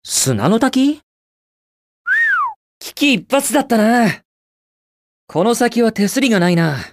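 A man's voice: very high-pitched, very animated, slow pace, noisy background, full character voice. A man voicing a cocky, carefree young hedgehog speedster hero in a video game, with a cool, brash, quippy delivery. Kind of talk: Japanese video game character voice lines.

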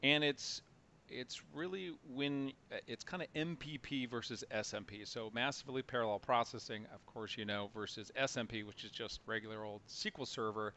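A man's voice: medium pitch, steady tone, normal speed, sharp tone, slight background noise. A man hosting an interview, asking loose questions full of fillers over a video call. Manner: hesitant, filler-heavy questions. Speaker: host. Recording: slightly dull call audio.

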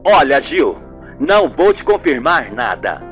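Performer putting on a guarded, low-voiced man. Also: very animated, unhurried. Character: guarded man anxious to protect a secret. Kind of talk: amateur radio soap acting. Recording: music bed under the voice.

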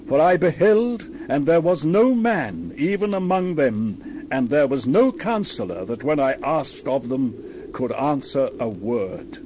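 A man's voice: medium pitch, darkish tone, a little hiss or hum. A man giving a dramatized Bible reading as narrator, solemn and declamatory with dramatic emphasis.

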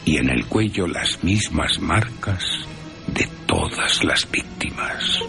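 A man's voice: very deep voice, expressive, slow, creaky, music in the background. A man with an accent from Spain narrating a story for radio in a wry, theatrical, dramatic manner. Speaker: narrator and presenter.

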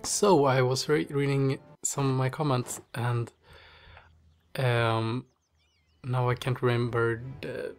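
A man's voice: mid-range voice, level tone, faint music behind. Sniffly man thinking aloud over gameplay. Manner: halting, thinking aloud with many fillers. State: stuffy nose, strained voice. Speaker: gaming channel host. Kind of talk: casual gameplay commentary.

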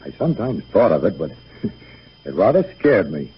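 An actor playing the gentle, boyish ghost of a young son in 1930s radio style, wistful and light in manner. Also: male voice, sits low, big pitch swings, moderate pace, slightly rough, heavily acted. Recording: muffled, noisy 1930s radio broadcast.

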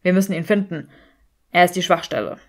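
A woman voicing an irritable, pragmatic young male wizard in an audiobook, terse and forceful. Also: lowish voice, brisk, close to an everyday voice. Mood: urgent.

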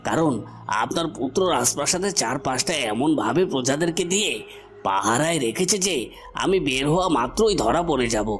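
Guy doing a treacherous cartoon bad guy, dramatic and desperate, medium pitch, bit of music under it. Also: animated, brisk, natural voice. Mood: threatening. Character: cornered treacherous male villain, threatening then begging for life. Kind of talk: melodramatic cartoon voice acting.

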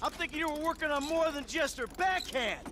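An actor playing a gruff, profane, sarcastic middle-aged American retired criminal and father in a video game cutscene. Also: extremely high pitch, lively intonation, brisk, bright, forceful tone, exaggerated voice. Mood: bitter, sarcastic. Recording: noisy vehicle ambience behind voice.

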